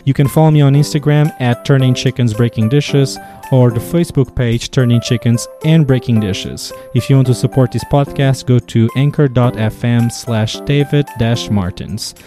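A middle-aged man from Portugal with a Portuguese accent, hosting a food podcast with an upbeat, energetic manner. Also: medium pitch, moderate pace, hardly any pauses, over music. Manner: energetic upbeat intro, quieter when asking questions. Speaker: podcast host and chef. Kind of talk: podcast interview and ad read.

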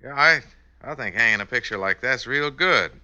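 An actor playing a slow, folksy outlaw sidekick with a rural American Western drawl in a 1950s radio drama. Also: male voice, medium pitch, animated, sharp tone.

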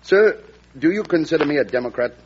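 An actor playing an ambitious, prickly vice president in an old radio drama, courtly and formal with an insinuating manner. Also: male voice, fairly high pitch, animated, a little fast, close to an everyday voice. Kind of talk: 1940s-50s radio drama acting. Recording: muffled, faintly noisy old radio recording.

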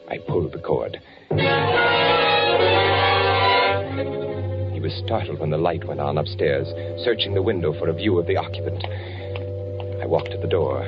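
An actor playing a suave spy who narrates his story in measured, theatrical 1940s radio drama style. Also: extremely low pitch. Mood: hushed, tense. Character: suave spy posing as Nazi officer, narrating tensely. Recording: muffled old 1940s radio recording.

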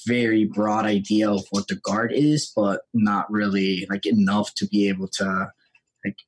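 A young man, telling his story as a podcast guest in a relaxed, chatty way. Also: lowish voice, measured pace, rough recording. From Puerto Rico. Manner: relaxed and chatty, acts out his own past lines in quotes. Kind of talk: casual conversational storytelling.

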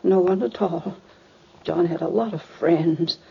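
Husky, fragile mother in her sixties. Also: on the low side, a little slow, full character voice. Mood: grieving, dazed. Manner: polite, hesitant, emotional. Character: gentle, grieving mother in her mid sixties. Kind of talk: 1950s radio drama acting. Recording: muffled vintage radio recording.

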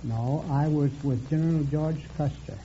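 A man's voice: a little high, normal speed. An American man in his nineties answering a quiz show host politely and matter-of-factly as a contestant. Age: very elderly, nineties. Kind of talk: plain storytelling in interview. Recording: muffled vintage radio recording, faint noise.

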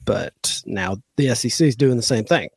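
A man's voice: medium pitch, animated, normal speed, husky, no echo. Laid-back man co-hosting, slightly under the weather. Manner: laid-back, swears casually, nostalgic rambling. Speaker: podcast co-host. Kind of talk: casual sports betting chat.

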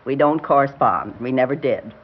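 Genteel grieving grandmother, older, hesitant and defensive, lively intonation, dull classic-radio recording. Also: extremely low pitch, normal speed, breathy edge, full character voice. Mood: prim, reserved. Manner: prim, hesitant, evasive. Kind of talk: classic radio drama acting.

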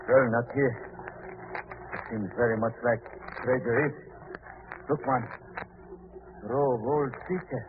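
An actor playing a grave, mystical priest in an old radio drama, speaking solemn broken English. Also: male voice, medium pitch, fairly even intonation, a little slow, long pauses, close to an everyday voice. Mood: puzzled, subdued. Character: grave mystical male priest of an island cult. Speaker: actor in a radio mystery serial. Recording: tinny, noisy old radio recording.

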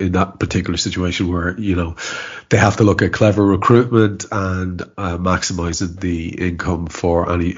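A man's voice: low-pitched, slightly rough, highs cut off. A man co-hosting a casual football podcast, congested with a cold and talking hesitantly with repeated words and fillers. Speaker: podcast co-host.

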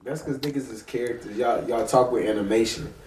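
A young man chatting casually with friends, laid-back and chiming in quietly. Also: mid-range voice, brisk, recorded from a distance. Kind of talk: casual chat among friends. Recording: roomy, off-mic.

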